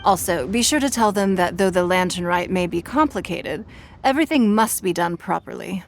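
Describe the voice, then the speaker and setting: A woman's voice: lowish voice, a little hiss or hum, natural voice. A woman playing a poised noblewoman leader. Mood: calm. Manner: composed, measured and formal. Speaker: city leader. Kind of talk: video game voice acting.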